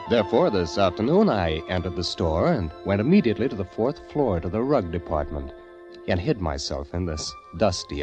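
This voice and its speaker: Theatrical poet with swooping delivery. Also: male voice, lowish voice. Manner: dramatic, florid, exultant. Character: penniless, dreamy, theatrical poet in hiding. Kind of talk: 1940s radio acting, diary monologue. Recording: dull vintage radio broadcast. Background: prominent music.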